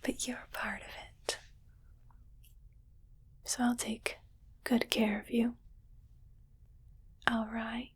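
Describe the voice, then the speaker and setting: A woman's voice: a little high, level tone, exaggerated voice. Woman playing a whispering, condescending alien captor. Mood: patronizing, coaxing. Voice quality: breathy whisper. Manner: slow, patronizing, softly coaxing. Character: condescending, scheming rich female alien keeping a human pet. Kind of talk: ASMR roleplay.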